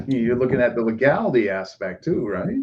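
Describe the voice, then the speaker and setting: A man hosting a podcast in a casual discussion, agreeable and quick to chime in with affirmations. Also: medium pitch, big pitch swings, a little mellow. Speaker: podcast host. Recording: dull muffled audio with faint noise.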